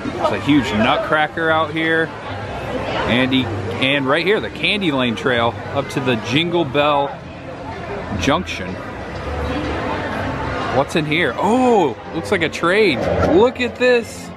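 A man's voice: a little high, animated, slow pace, pauses often, loud music behind the voice. A man from Indiana with an American accent, giving chatty, descriptive walking commentary as a casual vlog host. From Indiana, United States. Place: outdoors walking in a busy theme park. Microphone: handheld camera, operator behind it. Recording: noisy outdoor crowd, street ambience.

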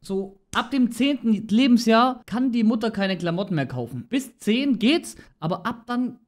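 A young man from southern Germany chatting casually as he reacts to short videos, lively and quick to laugh. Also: very high voice. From Baden-Württemberg, Germany. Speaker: reaction streamer. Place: small room with LED lighting, indoors.

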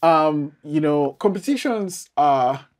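A man's voice: on the high side, expressive, slow. A man in his thirties or forties chatting informally as a podcast host, often repeating phrases for emphasis. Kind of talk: casual interview chat. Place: small studio, seated indoors.

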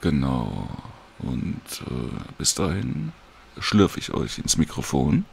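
A man casually and hesitantly talks viewers through a screen recording in an informal, unhurried tutorial. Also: extremely low pitch, wildly swooping pitch, vocal fry, a little hiss or hum. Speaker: audiobook creator giving a download tutorial.

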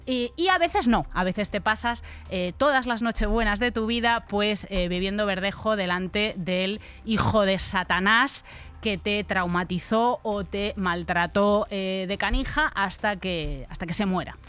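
A woman's voice: medium pitch, measured pace, fairly bright tone, clean tone. A woman in her thirties with a Spain accent, explaining things conversationally and wryly as a podcast co-host in a studio. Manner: wry, plain-spoken. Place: indoor radio-style podcast studio. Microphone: studio microphone on desk stand.

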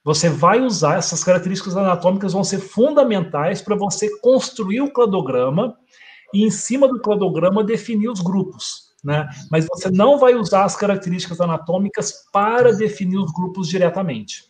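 A middle-aged man on a video call, giving a relaxed explanatory talk and thinking aloud, often ending sentences with a tag question. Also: sits high, moderate pace. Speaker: guest lecturer. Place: home room, indoors.

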